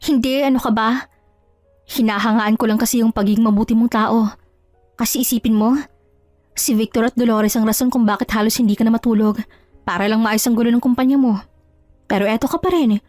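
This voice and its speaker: A woman's voice: fairly high pitch, fairly even intonation, fairly quick, long pauses, a little mellow, no room sound, natural voice. A woman performs a hurt wife in a Tagalog audio drama. She is good-hearted yet reluctant to forgive, speaking plainly and emphatically. The sound is close and dry, with music underneath. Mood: sincere. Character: kind, wounded wife, firm and reluctant to forgive.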